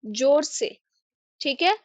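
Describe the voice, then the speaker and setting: A woman's voice: high voice, lively intonation, takes it slowly, no room sound. A young Indian woman teaching English step by step, patiently asking questions and then answering them herself. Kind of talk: slow line-by-line English lesson explained in Hindi.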